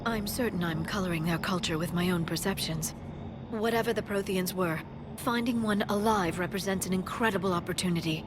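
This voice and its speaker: A woman's voice: on the low side, a little fast, noisy background, natural voice. A woman playing an earnest, scholarly, hopeful alien scientist in a video game. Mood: earnest, focused. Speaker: scientist and expert.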